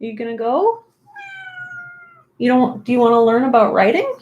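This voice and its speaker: A woman's voice: on the high side, sing-song, moderate pace, darkish tone, lo-fi sound. A woman hosting a live stream, chatting casually and encouragingly as she greets viewers and reads out comments. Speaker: live stream host and writing teacher.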